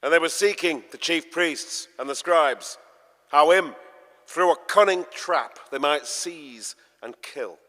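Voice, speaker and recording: A man narrating scripture dramatically in short, emphatic, measured phrases, as a storyteller at a live performance. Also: a little high, bright, forceful tone. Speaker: narrator, reading the part of the evangelist. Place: large church, live performance. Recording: long church reverb.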